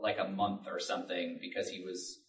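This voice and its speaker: A man with a fairly low voice talks as a panelist at a live event. He explains things informally, and the recording is echoey, picked up from some distance. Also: level tone, fairly quick, fairly bright tone. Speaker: podcast writer and panelist. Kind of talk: panel discussion at a public event. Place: public library panel room. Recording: roomy, distant microphone.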